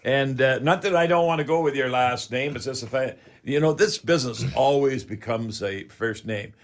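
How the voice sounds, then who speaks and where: A man's voice: medium pitch, moderate pace. An older man in his seventies with a Canadian accent hosts a live radio show in a casual, rambling, chatty, folksy way.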